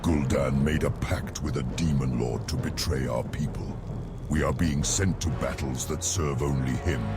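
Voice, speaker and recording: A man's voice: rumbling low, normal speed. A man playing a proud, honourable orc chieftain in exile, speaking solemnly and earnestly in a fantasy game cutscene. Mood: solemn, resolute. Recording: music behind.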